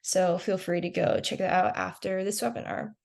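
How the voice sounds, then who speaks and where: A woman's voice: lowish voice, little pitch movement, normal speed, gravelly, darkish tone. A young woman hosting a student-run science webinar on a video call, introducing the organization and reading out questions. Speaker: webinar host.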